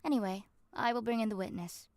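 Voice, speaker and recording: A woman's voice: a little high, fast talker, a little mellow, close to an everyday voice. A woman playing a dutiful court assistant in a visual novel, polite and orderly. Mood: businesslike.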